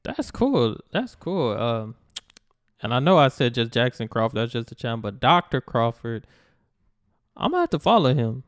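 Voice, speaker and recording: Chatty American man, smooth dry voice. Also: medium pitch, big pitch swings, moderate pace, no room sound. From United States. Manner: chatty, rambling, enthusiastic asides. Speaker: reaction video host. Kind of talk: casual reaction commentary.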